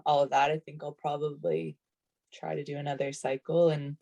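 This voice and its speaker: Gravelly-voiced young woman, chatty. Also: sits low, level tone, moderate pace, dark tone, lo-fi sound. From Canada. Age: 20s. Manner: chatty, many fillers and self-corrections. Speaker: national team rugby player, panelist. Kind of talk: casual panel talk. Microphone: video call.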